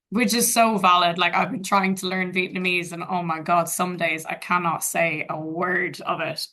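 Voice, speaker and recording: Brief Young Irish woman, teacher guest, bright tone, relaxed and reflective. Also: mid-range voice. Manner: casual, thoughtful, friendly. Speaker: guest, English teacher in Vietnam. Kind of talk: webinar answers, casual.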